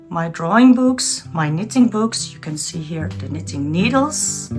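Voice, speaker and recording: A woman in her forties from the Netherlands with a Dutch accent, giving a shy, halting, earnest tour of her home art studio. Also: lowish voice. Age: 40s. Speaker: vlogger. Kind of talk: casual studio tour chat. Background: guitar music.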